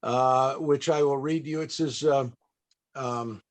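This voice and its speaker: A man hosting an interview over a video call, speaking off the cuff in a halting, courteous and formally appreciative way. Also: medium pitch, steady tone. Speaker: panel host and interviewer. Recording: video call with occasional breakup.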